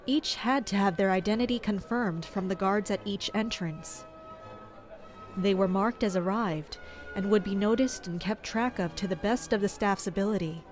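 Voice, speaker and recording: A guarded, watchful palace servant , acted by a woman, narrates a fantasy audio drama. The voice sits mid-range, serious and measured, with music faintly behind it. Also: mid-range voice, darkish tone, close to an everyday voice. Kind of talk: scripted first-person audio drama narration.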